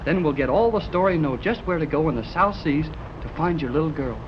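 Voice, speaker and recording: An actor playing an excited young radio enthusiast in a 1930s radio serial, full of gee-whiz slang, from an old recording. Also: male voice, on the high side, quick pace, dull audio, background noise, close to an everyday voice. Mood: eager. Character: eager young male radio enthusiast, wide-eyed.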